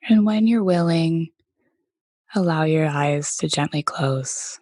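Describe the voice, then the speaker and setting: A woman guides a meditation at a very slow, unhurried pace. Her voice is soft and sits a little low. She leaves gentle pauses between phrases, and the recording is dry and close. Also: dry recording. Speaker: meditation teacher leading a body scan.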